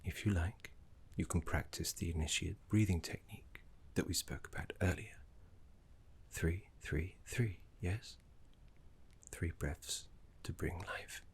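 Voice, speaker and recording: A man voicing a gentle, erudite necromancer teacher in a fantasy ASMR roleplay, speaking in a breathy half-whisper with refined, reassuring deliberation. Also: deep voice, a little slow, exaggerated voice. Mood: soothing, encouraging.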